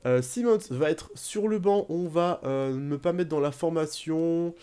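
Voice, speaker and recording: A young man commenting casually on his football video game career, rambling, with bursts of excitement. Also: fairly high pitch, unhurried, clean tone. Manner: chatty, rambling, bursts into excited shouting at goals. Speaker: gaming YouTuber.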